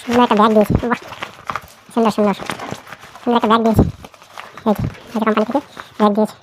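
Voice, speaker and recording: Woman, fast talker, casual unboxing chat, noisy recording. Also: on the high side, flat delivery. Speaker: co-presenter helping unbox.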